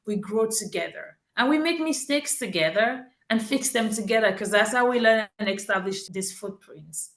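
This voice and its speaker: A woman's voice: mid-range voice, a bit bright, echoey room. A woman from Cameroon, a marketing executive answering interview questions earnestly, often rephrasing herself mid-sentence.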